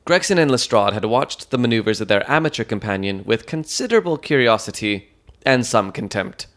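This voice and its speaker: A man's voice: mid-range voice, very animated, fairly quick, fairly bright tone. A man reading scripted first-person narration for a dramatized detective story.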